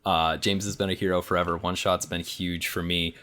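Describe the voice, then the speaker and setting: A man's voice: low voice, flat delivery, a little fast, sharp tone. A man chatting casually as a podcast guest, rambling and correcting himself as he goes. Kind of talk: casual chat.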